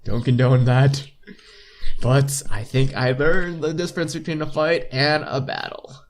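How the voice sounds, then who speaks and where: A man's voice: mid-range voice, steady tone, unhurried, a little hiss or hum. A young man reading visual novel text aloud as a playthrough host, informally, stumbling now and then and correcting himself, with casual asides. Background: game music.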